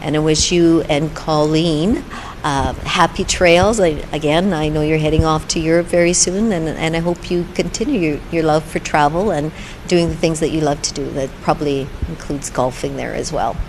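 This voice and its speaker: A woman's voice: low-pitched, normal speed, a little hiss or hum, rough recording. A woman offering farewell wishes to a colleague. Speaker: colleague giving a tribute. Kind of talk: well-wishing farewell.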